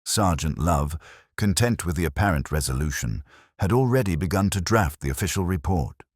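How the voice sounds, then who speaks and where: Very deep-voiced male mystery narrator, close and dry. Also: lively intonation, moderate pace, no room sound. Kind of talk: scripted audiobook narration of a mystery, acting out dialogue.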